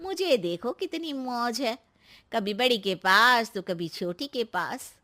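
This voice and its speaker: A woman voicing a meddling middle-aged aunt in a family story, sly and sulky, with taunting, drawn-out sympathy. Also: on the high side, animated, pushed and bright, exaggerated voice. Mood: gloating. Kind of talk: Hindi moral story voice acting.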